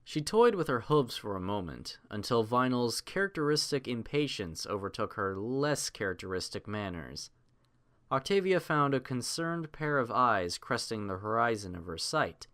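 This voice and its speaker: A man narrating a scripted audiobook in a theatrical, measured storytelling manner. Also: mid-range voice, animated, fairly bright tone.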